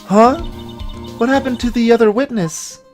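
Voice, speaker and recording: A man's voice: extremely high pitch, normal speed, a little mellow, faint music behind, voice pushed up. A man voicing an anxious, hesitant young male defense lawyer in a video game. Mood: surprised. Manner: stammering, unsure, dryly resigned. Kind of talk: voiced video game dialogue.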